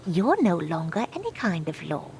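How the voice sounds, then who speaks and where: A woman playing an unimpressed peasant farmer in a fantasy audio drama, measured, pointed and mock-courteous. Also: fairly low pitch, wildly swooping pitch, a little mellow, a little hiss or hum. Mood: dry, firm.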